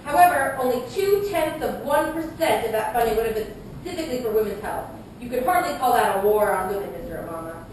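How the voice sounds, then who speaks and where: A woman's voice: expressive, fast talker, a little mellow, natural voice. A woman playing a combative male conservative presidential challenger, making accusatory scripted speeches in a puppet show debate. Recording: echoey room, distant mic, rumbling noise.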